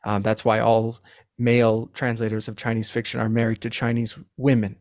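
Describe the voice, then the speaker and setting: Gravelly man, halting podcast guest. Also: mid-range voice, completely flat delivery, a little mellow, no room sound, clean studio sound. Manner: halting, repeats words. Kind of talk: casual literary discussion.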